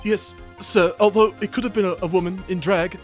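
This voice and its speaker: A man puts on a flustered hotel bellboy for a video game, pitched high and eager to please. He hesitates, trips over his words and defers constantly, with faint music underneath. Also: fairly even intonation, a little fast, a little mellow, natural voice. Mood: nervous, deferential. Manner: hesitant, stammering, obsequious. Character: nervous, deferential male hotel bellboy on witness stand.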